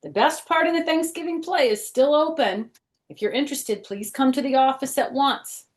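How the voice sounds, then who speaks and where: An earnest schoolboy from a children's storybook, as a woman reader puts him on. The voice is lifted well up in pitch. He is eager and persuasive, coaxing his listener along. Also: normal speed, natural voice. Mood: announcing.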